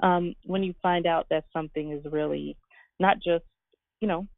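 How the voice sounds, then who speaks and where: A woman's voice: fairly low pitch, fairly even intonation, normal speed, vocal fry, no echo, narrow, tinny audio. A woman from Guyana giving earnest, reflective, conversational answers as an interview guest. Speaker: interview guest, fashion designer and business owner.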